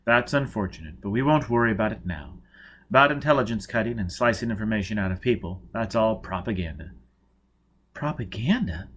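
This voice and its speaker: A man voicing a courteous young intelligence officer in an audiobook, gentle, adroit and reassuring. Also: lowish voice, lively intonation, a little fast, slight background noise. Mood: soothing sympathy.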